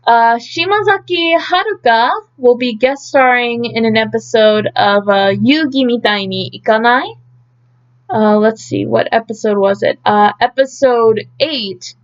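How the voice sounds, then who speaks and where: A woman with a fairly high-pitched voice presents news in a casual, chatty way. She pauses and thinks aloud as she goes through her notes. The sound is a little muffled and lo-fi, like a simple home recording. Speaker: host of an idol news update.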